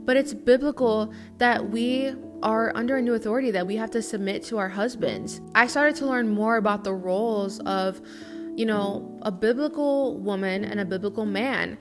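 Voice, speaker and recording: A woman in her early twenties, talking to camera in a chatty, earnest vlog, often saying "you know". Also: on the high side. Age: early 20s. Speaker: lifestyle vlogger. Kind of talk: casual confessional talk to camera. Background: guitar music underneath.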